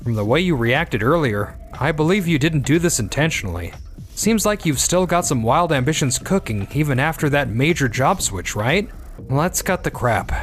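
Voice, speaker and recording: An actor playing a shrewd, blunt company boss in a manga dub, with a pointed way of talking. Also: male voice, fairly high pitch, expressive, normal speed, soft background music, close to an everyday voice. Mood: probing, blunt.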